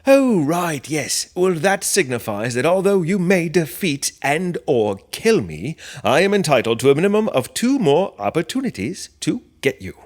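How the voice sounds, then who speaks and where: Slasher male actor: horror comedy audio play, chatty businesslike killer, impeccably polite, very animated delivery. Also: fairly high pitch, moderate pace, hardly any pauses. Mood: cheerful, courteous. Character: chatty, courteous slasher killer treating murder as paperwork.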